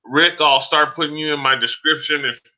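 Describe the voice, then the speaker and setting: A man chatting casually on a live stream, talking to viewers by name in a loose, rambling way, with a voice starting to go hoarse. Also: fairly high pitch, steady tone, moderate pace, pushed and bright, poor audio. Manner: loose and rambling, many fillers and restarts; addresses viewers by name, says "man" often. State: voice going hoarse.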